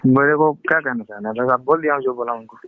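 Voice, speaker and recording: A man's voice: mid-range voice, very animated, fast talker, gravelly. A man in a heated personal phone conversation on a lo-fi line, answering defensively in short, clipped replies. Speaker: caller on a three-way personal phone call. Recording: dull, lo-fi phone call.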